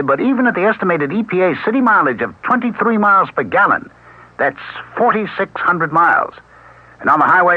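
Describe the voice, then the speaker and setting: A man's voice: on the high side, husky, no echo. A male announcer reading an old radio commercial in an upbeat, persuasive way. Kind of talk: 1970s radio advertisement read. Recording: tinny old radio broadcast recording.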